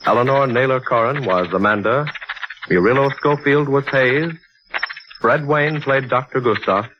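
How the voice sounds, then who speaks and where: A male radio announcer reading closing credits and next week's preview in a measured, declamatory 1940s broadcast style. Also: medium pitch, lo-fi sound, background noise.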